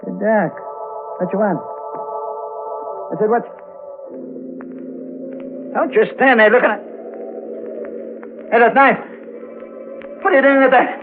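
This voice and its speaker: A man's voice: high-pitched, slow, pauses often. An actor playing a sly, smug aging male blackmailer in a vintage radio thriller, terse and insinuating. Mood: startled, terrified. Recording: tinny old radio recording, music behind.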